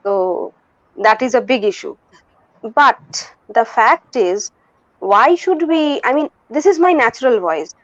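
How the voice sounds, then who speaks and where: A soft-voiced woman in her forties with an Indian English accent, speaking thoughtfully as an interview guest with many pauses and restarts. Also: fairly high pitch, expressive, dry recording. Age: 40s. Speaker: guest vocalist and music teacher. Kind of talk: candid conversational interview. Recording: dull lo-fi dry recording.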